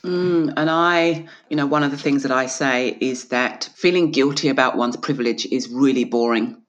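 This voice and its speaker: A woman's voice: extremely low pitch, normal speed. Woman from australia, earnest and emphatic, plays out little back-and-forths, kinda dull lo-fi sound. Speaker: author and guest. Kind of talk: reflective interview conversation.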